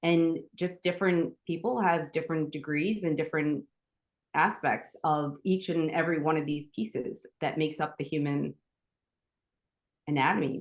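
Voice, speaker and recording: Animated lower-pitched New woman. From Staten Island, New York. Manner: animated storyteller, acts out quoted dialogue, frequent restarts. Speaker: podcast guest. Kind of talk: casual conversational interview.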